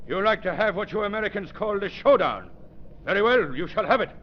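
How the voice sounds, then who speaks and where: A high-pitched male villain, menacing and imperious in old radio-drama style. The recording is dull and carries a faint layer of noise. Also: expressive, brisk. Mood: cold, threatening. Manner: commanding, theatrical. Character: ruthless foreign male villain barking orders, desperate and menacing. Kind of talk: 1930s radio acting.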